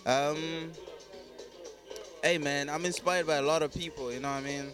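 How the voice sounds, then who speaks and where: A man's voice: on the high side, steady tone, moderate pace, over music. A man of twenty-six from Congo, raised across Africa, chatting casually as an interview guest with slangy, repeated tag phrases. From Congo, grew up across Africa. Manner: slangy, frequent "you know what I mean" tags. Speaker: podcast guest, musical artist.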